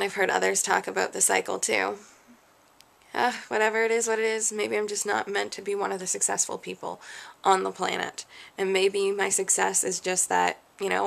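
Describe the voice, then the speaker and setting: Vlogger, young adult woman; heavy vocal fry; frustrated, self-questioning rant. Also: mid-range voice, fairly bright tone. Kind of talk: candid rant to camera.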